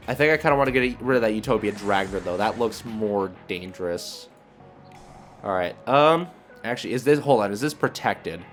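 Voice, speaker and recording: A man giving casual gameplay commentary, thinking aloud in a hesitant, muttering way as he reasons through his moves. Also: fairly low pitch. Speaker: video creator and card game player.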